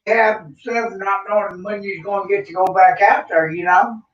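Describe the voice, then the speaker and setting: A man with a high voice joins a casual group chat in a supportive way. He sounds set back from the microphone in an echoey room. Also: steady tone, moderate pace. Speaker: livestream panel member. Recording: muffled, echoey room, distant from mic.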